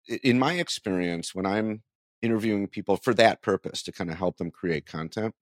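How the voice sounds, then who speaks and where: A man's voice: lowish voice, dry recording, studio quality. A man hosting a podcast, interviewing in a casual, exploratory way and rephrasing his questions as he goes.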